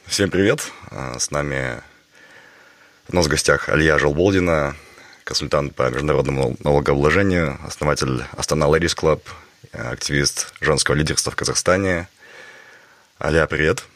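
A man hosting a podcast, speaking in a measured, earnest way while getting over a cold. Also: rumbling low, a bit bright, no room sound. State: recovering from a cold. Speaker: podcast host. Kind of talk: podcast intro and interview questions.

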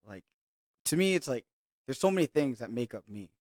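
A man's voice: medium pitch, sing-song, a little fast, darkish tone, no echo, clean studio sound. A young man from Hawaii, a guest telling his story reflectively in casual podcast chat, with many fillers.

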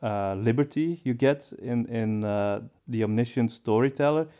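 A man with a mellow, soft-edged voice talks casually as a podcast co-host. His pitch sits in the middle for a man. He reasons aloud, often repeating and rewording as he goes. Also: moderate pace, clear, resonant tone. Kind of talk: casual discussion of storytelling.

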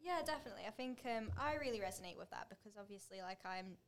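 A woman's voice: mid-range voice, animated, quick pace. A woman hosting a marketing podcast, chatty and eager, peppering her talk with "like" and easy laughter. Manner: chatty, lots of "like" fillers, giggly and eager. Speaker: podcast host. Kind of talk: casual podcast interview.

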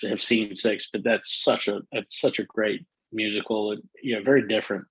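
A middle-aged man talks eagerly in a casual interview, his soothing voice a little husky. The sound is dry and close, with no room behind it. He restarts often and fills pauses. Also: lowish voice, steady tone, fairly bright tone, no room sound, rough recording. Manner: enthusiastic, many fillers and restarts. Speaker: guest.

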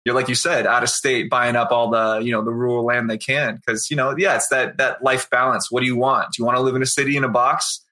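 Laid-back young singer. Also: male voice, medium pitch, little pitch movement, fast, hardly any pauses, bright, forceful tone. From outside Boston, Massachusetts. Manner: laid-back, rambling, full of "you know" and "right?". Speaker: guest, singer. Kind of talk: casual interview chat.

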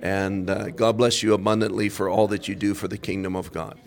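Deep-voiced podcast host saying amen. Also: male voice, flat delivery, brisk, gravelly. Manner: warm, affirming, frequent amens. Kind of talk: interview on a mission trip.

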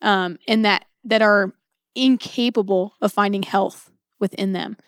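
A woman's voice: medium pitch, fairly even intonation, unhurried, clear, resonant tone, no echo. Woman, mid-range voice, earnest and reflective, conversational faith discussion, repeats phrases for emphasis, dry sound. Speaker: co-host of a book discussion series.

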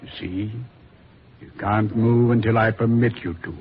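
An actor playing a suave, mocking Devil in a radio horror play, with amused, courtly, sardonic phrasing. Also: male voice, fairly low pitch, steady tone, moderate pace, close to an everyday voice. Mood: commanding, sly. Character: suave, mocking Devil receiving a dead man. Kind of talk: old-time radio horror acting. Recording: muffled old radio recording, music behind.

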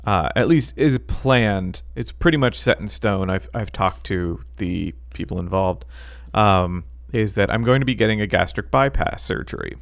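Low-voiced man, dry close sound. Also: animated, creaky, no echo. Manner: halting, many ums and restarts. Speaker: podcast co-host. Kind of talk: casual chat.

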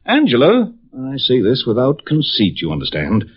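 An actor playing a pompous, hearty middle-aged ex-football alumnus in a 1950s radio drama, with an American accent and bombastic, solemn delivery. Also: male voice, mid-range voice, wildly swooping pitch, moderate pace, slightly rough. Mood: self-important. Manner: bombastic, self-important, solemn declarations. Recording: muffled old radio recording.

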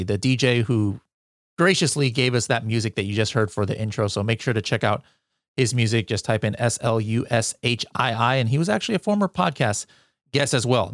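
A man's voice: mid-range voice, no echo, clean studio sound. A man hosting a podcast, upbeat and joking, often tripping over his words as he asks questions. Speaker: podcast host. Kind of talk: casual podcast interview.

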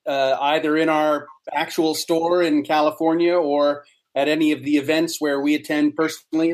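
Higher-voiced man explaining casually. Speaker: interview guest, society co-founder. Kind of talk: casual explanatory interview talk.

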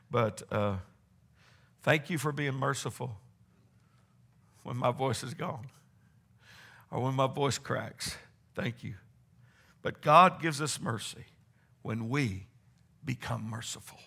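A man's voice: mid-range voice, bright, forceful tone. A man preaching a sermon as pastor, with fervent exclamations and a voice strained from long preaching. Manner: preaching with rising fervor, exclamations and call-and-response.

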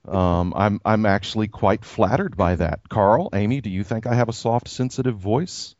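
Soft-spoken man, halting, lo-fi. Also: fairly low pitch, dry recording. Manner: soft, halting, many fillers and restarts. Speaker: pastor, podcast co-host. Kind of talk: casual chat. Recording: dry, dull lo-fi sound.

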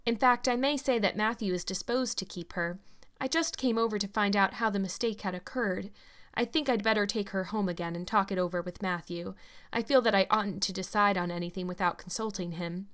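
A woman reading aloud, voicing a stern, reserved middle-aged spinster who speaks in a measured, dry way. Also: mid-range voice, a bit bright, close to an everyday voice.

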